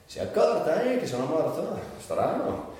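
Delirious tormented man, high-pitched, theatrical declamation, hushed to shouting, distant microphone. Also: huge pitch swings, moderate pace. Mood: bleak, brooding. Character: tormented, delirious man narrating his own death. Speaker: stage actor. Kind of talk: theatrical dramatic monologue for radio. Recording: very echoey, distant, music behind.

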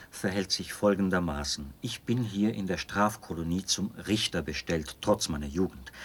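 An actor playing a zealous young penal colony officer and judge in a German radio drama, explaining in precise, formal standard German with a husky voice. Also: male voice, lowish voice, a bit bright, exaggerated voice.

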